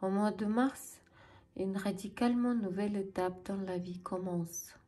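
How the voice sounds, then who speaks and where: Slow-speaking Ukrainian woman. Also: medium pitch, dark tone. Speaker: tarot reader and channel host. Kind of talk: tarot reading addressed to viewers.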